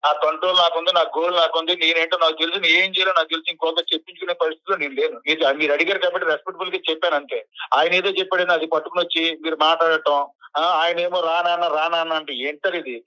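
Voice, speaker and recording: A young man on a phone call, high and very rapid. He argues back with irritation and refuses to give ground, in a heated exchange. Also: little pitch movement, sharp tone, dry recording. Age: young adult. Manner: defiant, argumentative, irritated. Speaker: caller, college student.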